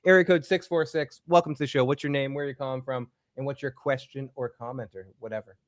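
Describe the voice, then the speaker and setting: Male host with a Boston accent, chatty and quick, dry close sound. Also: medium pitch, fairly even intonation, no room sound. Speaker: host taking calls. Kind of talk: casual call-in show chat.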